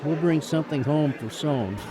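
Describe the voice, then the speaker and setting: An actor playing a brusque, sardonic attorney with a soft heart in a radio drama. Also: male voice, fairly high pitch, normal speed, a loud crowd, close to an everyday voice, rough recording. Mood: earnest, persuasive.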